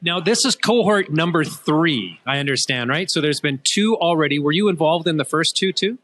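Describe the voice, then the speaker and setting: A man's voice: on the high side, expressive, moderate pace, a bit bright. A man, hosting a conversational interview at an event. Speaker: interviewer, show host.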